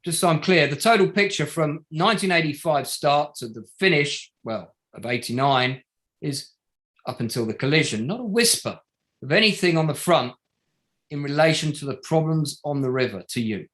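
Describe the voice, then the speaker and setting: An actor playing a probing, sardonic barrister at a public inquiry in a play reading, formal and pointed, pressing with rhetorical questions. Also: male voice, fairly high pitch, animated, moderate pace, fairly bright tone. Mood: methodical, insistent. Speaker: actor in an online table read. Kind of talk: courtroom-style cross-examination in a play reading.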